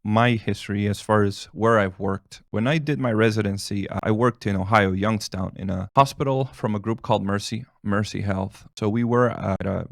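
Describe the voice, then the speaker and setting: A man's voice: fairly low pitch, normal speed, creaky, hardly any pauses, no echo, clean studio sound. A man in his thirties, a family physician and native Spanish speaker, talking casually and rambling, with restarts and chuckles. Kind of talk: casual talk to camera, answering questions.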